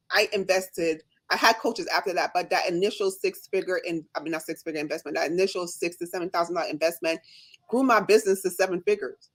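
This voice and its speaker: Lady with a little jamaican accent, talks real fast and emphatic, kinda low voice, telling her story on a live. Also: fairly bright tone, poor audio. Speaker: nurse and business owner, guest. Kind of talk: casual live-stream chat.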